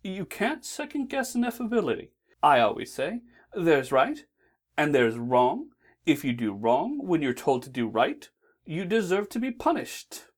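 Aziraphale is a fussy, well-meaning male angel, put on by a woman reading aloud. He frets and stammers, and his voice lifts high with worry and swings widely. It is a storybook voice, prim and nervous. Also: big pitch swings, measured pace, full character voice. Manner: flustered, stammering. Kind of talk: audiobook reading.

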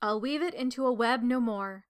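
A woman's voice: on the high side, moderate pace, clean tone, close to an everyday voice. A woman voicing a lovelorn young weaving maiden of heaven in a storybook, plaintive and formal. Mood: defiant.

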